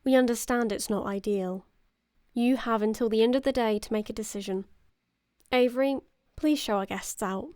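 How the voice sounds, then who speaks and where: Composed, high-voiced commanding woman, played by an actress. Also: darkish tone, no room sound, clean studio sound, natural voice. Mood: cool, formal. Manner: formal, measured, smoothly reassuring. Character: composed, authoritative female leader of a covert organization. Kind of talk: audio drama acting.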